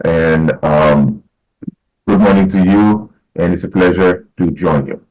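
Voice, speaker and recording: Man, middle-aged, from Haiti, very low voice, unhurried, halting speech with fillers. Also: darkish tone, poor audio. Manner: measured, many fillers and restarts. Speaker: podcast guest, educator. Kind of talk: casual interview talk.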